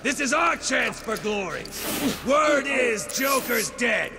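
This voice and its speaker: High, very gravelly ranting crime boss. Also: male voice, very animated, slow pace, over music, exaggerated voice. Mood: furious rallying. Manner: theatrical, menacing, declaiming. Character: ranting, grandiose, vengeful male crime boss villain. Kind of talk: video game cutscene acting.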